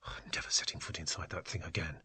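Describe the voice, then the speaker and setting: Man voicing a husky, fed-up woman. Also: rumbling low, steady tone, quick pace, bright, forceful tone, in a whisper, pitched below the performer's own voice, exaggerated voice. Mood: exasperated. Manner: accusing, exasperated. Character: fed-up, complaining woman on a failed sailing trip. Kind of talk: acted dialogue in story narration.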